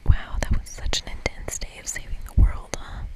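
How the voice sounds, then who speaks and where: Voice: normal speed, natural voice. An earnest young woman from a game put on by an ASMR reader, speaking in a whisper. She is sincere and casual, a helpful friend with a nervous, encouraging way. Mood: earnest, reassuring.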